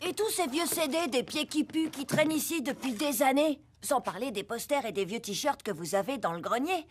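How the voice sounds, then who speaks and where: A woman voicing a whiny, scheming preteen boy in a French animated series, pleading and plotting expressively. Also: high-pitched, normal speed, a little hiss or hum. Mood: sly, plotting. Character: scheming, whiny preteen boy attached to his old toys. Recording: background music under the voice.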